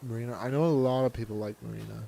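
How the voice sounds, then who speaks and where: A man's voice: medium pitch, fairly even intonation, very fast, vocal fry, dark tone, slight background noise, low-quality recording. A man streaming a video game live, chatting casually and answering viewers' comments aloud in a laid-back way.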